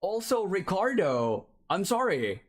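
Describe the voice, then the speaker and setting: A man's voice: high-pitched, very animated, measured pace. A man from Finland, chatting as a streamer over live gameplay and reading chat aloud, often apologizing.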